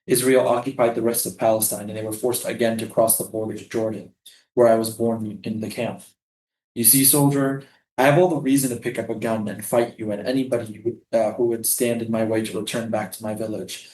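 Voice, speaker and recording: A man reading the part of a tender, defiant Palestinian refugee prisoner in a staged play, lyrical and deliberate. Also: on the low side, flat delivery, darkish tone, lightly acted. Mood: defiant, reflective. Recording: roomy, echoing live stage reading.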